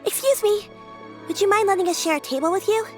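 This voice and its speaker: An earnest, upbeat young girl from a video game. Her voice is very high and bright in pitch, and she talks with sincere warmth toward her grandmother. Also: quick pace, faint music behind. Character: cheerful young girl caring for her granny.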